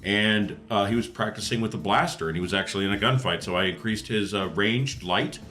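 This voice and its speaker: A man's voice: lowish voice, a little fast, bright, forceful tone, soft background music. A man chatting casually as a player on a tabletop roleplaying podcast. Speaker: player on an actual-play podcast. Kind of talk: casual tabletop game chat.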